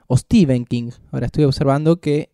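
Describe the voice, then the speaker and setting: A man with an Argentine Spanish accent, co-hosting a casual podcast and telling anecdotes in a lively, joking way. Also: mid-range voice, brisk, no echo, clean studio sound. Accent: Rioplatense Spanish. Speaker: podcast co-host.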